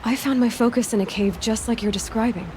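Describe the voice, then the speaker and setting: An actress playing a determined young outcast hunter who asks direct, probing questions. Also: female voice, on the high side, brisk, mellow, natural voice. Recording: game audio with ambient noise.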